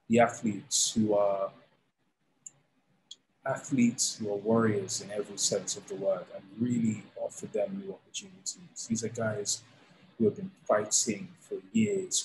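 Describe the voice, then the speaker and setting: Relaxed man, low voice. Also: slight background noise, rough recording. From England. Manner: relaxed, animated storytelling with fillers. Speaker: founder of a fighting league, guest. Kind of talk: casual interview. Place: indoors, plain room. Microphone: studio mic on arm, video call.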